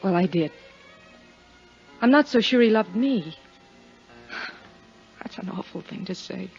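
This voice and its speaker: An actress in her twenties playing a lonely young widow in a 1950s radio drama, speaking in a soft, hesitant, confiding way. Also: medium pitch, expressive, a little slow, vocal fry, soft background music. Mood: wistful. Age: 20s. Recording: muffled 1950s radio broadcast.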